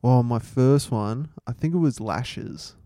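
An Australian man co-hosting a podcast, chatting and joking in a relaxed, talkative way. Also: mid-range voice, no echo, studio quality. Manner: chatty, quick to laugh, joking. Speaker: podcast co-host. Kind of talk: casual banter.

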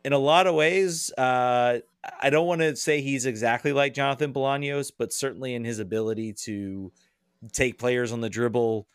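A man giving casual soccer analysis as a podcast guest, halting with many pauses and restarts. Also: medium pitch, fairly even intonation, smooth, dry recording, studio quality.

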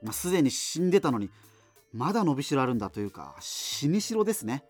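An actor playing a self-deprecating, subculture-obsessed radio host, rambling through a monologue with apologetic asides in a radio drama. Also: male voice, medium pitch, big pitch swings, quick pace, a little mellow, husky, soft background music. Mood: wry, rambling. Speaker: radio show host answering listener letters.

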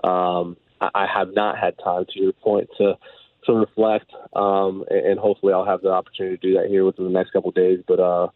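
A man's voice: low voice, flat delivery, fairly quick, dry recording. A man in his thirties, a baseball manager, talking earnestly on a radio interview with lots of fillers and restarts. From United States, Dominican background. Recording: tinny phone line.

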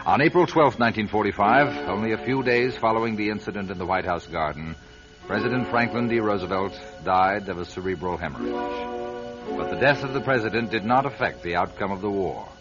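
A man with a very low voice narrates a radio drama in a grave, deliberate way. The recording is dull and lo-fi. Music plays clearly behind him. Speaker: narrator and writer-director.

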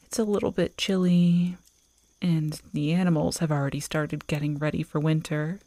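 A woman playing an affectionate, playful girlfriend in a soft, intimate ASMR audio roleplay, close to the microphone. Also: low voice, steady tone, no echo, close to an everyday voice. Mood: cosy, reassuring. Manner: intimate, teasing, slow and cosy. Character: affectionate, playful werewolf girlfriend on a camping trip. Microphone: close microphone, dry.

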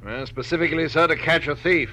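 A man's voice: a little high, fast talker, sharp tone. An actor playing a precise, deferential secretary in his forties, very polite and formal, in a 1940s radio drama. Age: 40s. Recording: faintly hissy old radio recording.

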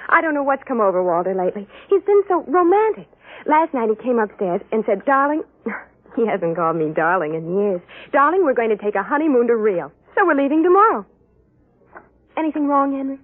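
Fluttery trusting wife, very lively pitch. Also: female voice, fairly quick, no room sound. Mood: delighted. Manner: fluttery, gushing, solicitous. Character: sweet, trusting, easily frightened wife. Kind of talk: classic radio drama acting. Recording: tinny old radio recording.

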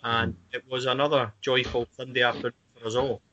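Brief: male podcast host, bright, tense edge, slightly muffled lo-fi audio, steers the chat. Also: mid-range voice, level tone, fairly quick. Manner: leads the talk, hands over to guests by name. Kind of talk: casual football podcast chat.

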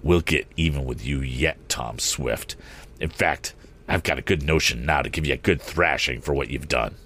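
A man's voice: a bass voice, moderate pace, fairly bright tone. A man voicing a sneering, vindictive villain in a storybook, a hot-tempered and menacing bully. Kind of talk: audiobook character acting.

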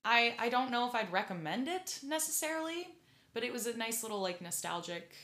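Woman, podcast co-host, giggly, upbeat, bright tone, echoey room. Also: fairly high pitch, animated. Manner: giggly, upbeat, talks quickly in bursts. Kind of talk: casual chat.

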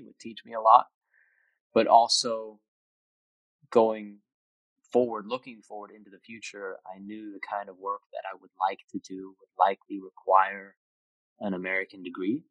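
A man's voice: lowish voice, dry recording, lo-fi sound. A man in his twenties, raised in Austria, speaking as a podcast guest in a thoughtful, reflective way. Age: 20s. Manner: reflective, thoughtful with frequent restarts. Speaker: interview guest. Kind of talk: casual conversation.

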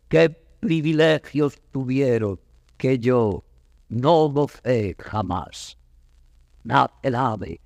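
Casting: anguished captive prince, verse drama, slow gravelly declamation, reverberant recording. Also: male voice, on the high side, studio quality. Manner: solemn, drawn-out, impassioned declamation. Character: anguished imprisoned young man lamenting his fate.

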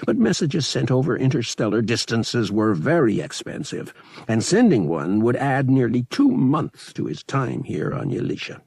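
A man narrating a science fiction audiobook as a scripted, expressive dramatic story. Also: mid-range voice, normal speed, creaky, slightly rough, no room sound.

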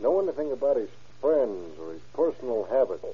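A man's voice: medium pitch, very animated, fairly quick, husky. An actor playing a laconic, businesslike policeman in an old-time radio crime drama, clipped and matter-of-fact. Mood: questioning. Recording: muffled old radio recording.

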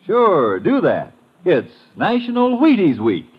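An American man working as a radio commercial announcer, giving a folksy salesman's pitch in 1950s live style. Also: huge pitch swings, slow, crowd noise. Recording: dull, muffled old radio recording.

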